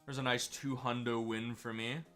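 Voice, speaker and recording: A man streaming a game, chatty and excitable, sounding a bit stuffy from a cold. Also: medium pitch, moderate pace, faint music behind. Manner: chatty, excitable over wins. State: stuffy, slightly sick. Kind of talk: gameplay commentary.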